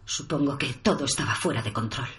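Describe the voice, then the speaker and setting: An actress playing a stern, authoritative special forces squad leader in a radio drama, with firm, clipped military speech. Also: female voice, very deep voice, brisk, a bit bright. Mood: grave, reporting.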